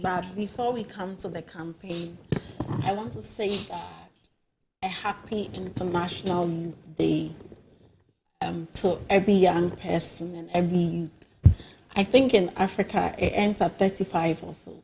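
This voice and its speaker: A woman who has a cold and sounds nasal, a political guest in a TV studio who talks forcefully and repeats words for emphasis. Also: on the low side, fairly even intonation, measured pace, gravelly, noisy background. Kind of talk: studio discussion.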